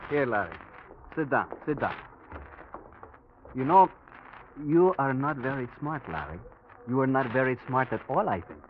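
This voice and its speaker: An actor playing a warm, emotional pilot and protective friend, with a Spanish accent and expressive phrasing, in vintage radio drama style. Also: male voice, medium pitch, normal speed, long pauses, close to an everyday voice. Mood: chiding. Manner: expressive, gentle, theatrical phrasing. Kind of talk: 1940s radio drama acting. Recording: tinny, hissy old radio recording.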